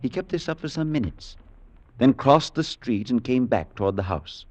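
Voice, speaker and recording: An actor playing a gentle priest who narrates an old-time radio drama in a measured way. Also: male voice, mid-range voice, expressive, natural voice. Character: kindly male priest narrating. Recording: dull vintage recording with music.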